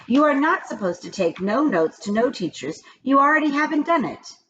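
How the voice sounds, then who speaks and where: A woman's voice: high-pitched, sing-song, moderate pace, fairly bright tone, pitched above the performer's own voice. Casting: woman reader as a laid-back, reassuring man who teaches art, storybook style, varied intonation. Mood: assured. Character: easygoing, matter-of-fact male art teacher. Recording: dull lo-fi recording with background noise.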